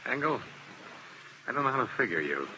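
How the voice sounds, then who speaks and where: A man's voice: mid-range voice, big pitch swings, a little fast, bright, forceful tone, highs cut off, heavily acted. A man playing a tough, world-weary insurance investigator in 1950s radio detective style, clipped and terse. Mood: stern, pressing. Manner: clipped, hard-boiled, terse. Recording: noisy old radio broadcast recording.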